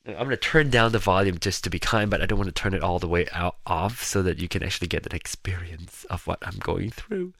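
A man chatting casually in a rambling personal monologue, sounding nasal and congested, with a slightly raspy, gravelly voice. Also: deep voice, a little fast, hardly any pauses, fairly bright tone, no room sound. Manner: rambling, chatty, with frequent restarts. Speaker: vlogger.

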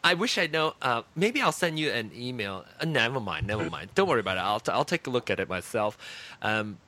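Casting note: Malaysian-accented male podcast host, lively pitch, bright tone, giggly and campy. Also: fairly quick. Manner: chatty, giggly, campy asides. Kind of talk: casual podcast chat.

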